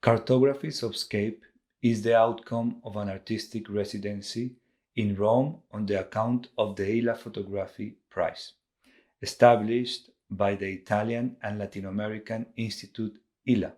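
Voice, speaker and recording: A low-voiced man from Colombia narrates art projects in English with a Colombian accent. He is a native Spanish speaker, and his scripted delivery is formal and measured. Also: steady tone, a little mellow. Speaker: visual artist.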